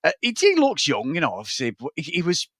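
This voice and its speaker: A man's voice: a little high, wildly swooping pitch, fast, a bit bright, no echo, clean studio sound. A man, co-hosting a podcast and chatting casually about a film in a frank, rambling way. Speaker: podcast co-host. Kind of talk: casual film review chat.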